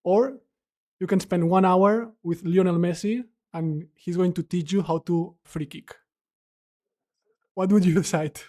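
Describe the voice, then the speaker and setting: A man's voice: high-pitched, fairly even intonation, measured pace, a little mellow. A man co-hosting a podcast, putting casual questions to a guest. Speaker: podcast co-host.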